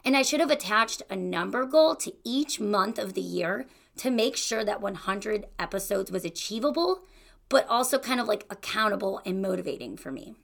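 Bright, gravelly young woman podcaster. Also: medium pitch, lively intonation, normal speed. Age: young adult. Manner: chatty and encouraging, with fillers like "you know" and "like". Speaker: podcast host. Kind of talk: casual solo podcast monologue.

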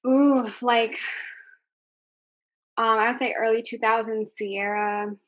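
A young woman who sings, answering interview questions in a soft voice, with many ums and likes. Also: a little high, steady tone, takes it slowly, dry recording. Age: young adult. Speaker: singer being interviewed.

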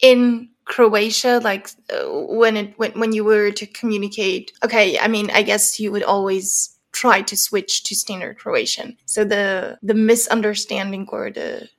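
A woman's voice: medium pitch, level tone, a little slow, few pauses. Hesitant woman asking questions. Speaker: interviewer. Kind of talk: casual interview.

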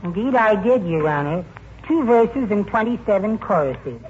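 Meek, prim little husband, mild and hesitant, 1940s radio comedy on a noisy, dull broadcast recording. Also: male voice, sits high, animated, moderate pace. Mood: meek, earnest. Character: timid henpecked little husband, mild and polite.

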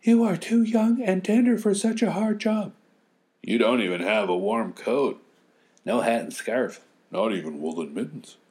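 A worried storybook villager, put on by a man. The voice is protective and pitying, leaping well above his usual low register. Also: animated, measured pace, a little mellow, lightly acted. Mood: pitying, alarmed.

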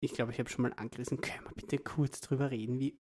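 A man's voice: mid-range voice, steady tone, quick pace, a little mellow, slight background noise. A man, a young parent co-hosting a casual podcast, joking and ranting with exaggerated disbelief. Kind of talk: casual chat.

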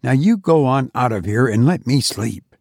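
A man's voice: mid-range voice, animated, normal speed, creaky, a little mellow, no room sound, clean studio sound. A man voicing an irritated, sleepy mother who brushes off her son. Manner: curt, dismissive.

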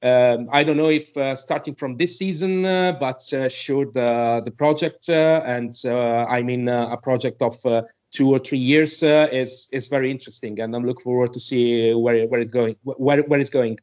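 A man's voice: medium pitch, steady tone, moderate pace, few pauses, darkish tone. A man giving his opinion on a podcast, speaking hesitantly in non-native English and searching for words. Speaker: podcast panelist.

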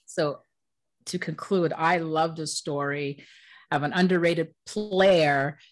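A woman hosts a relaxed interview in a notably low voice. She keeps her guest talking with friendly, slangy affirmations. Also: slow pace. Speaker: interview host. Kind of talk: casual interview.

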